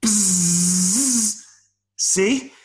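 A man playing an adult male mayfly in an audio play, nervous and earnest, pleading haltingly with little buzzing sounds. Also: high-pitched. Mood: patient, explaining. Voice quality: buzzing interjections between phrases.

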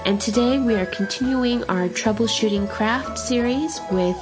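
A woman hosting a podcast, husky and stuffed up from a summer cold, talking casually with a rambling, self-deprecating manner. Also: a little high, normal speed, music in the background. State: congested from a cold. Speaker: podcast host.

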